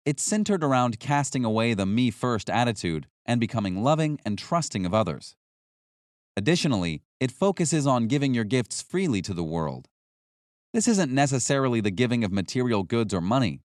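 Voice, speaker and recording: A man reading scripted nonfiction as an audiobook narrator, with a measured, instructional delivery. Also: medium pitch, dry recording, clean studio sound.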